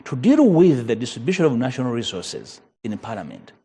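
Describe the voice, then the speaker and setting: A Ugandan man whose first language is Luganda, a member of parliament arguing in a TV panel debate, measured and emphatic. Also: medium pitch, very animated, warm tone, slightly rough. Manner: measured, emphatic, rising on key points.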